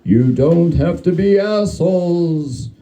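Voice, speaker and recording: A man voicing a gloomy, reproachful, needy ghost in a dramatic story reading, complaining in a grim, forlorn, drawn-out way. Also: fairly high pitch, dark tone, voice pushed up. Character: gloomy, reproachful, needy male ghost who nags the living.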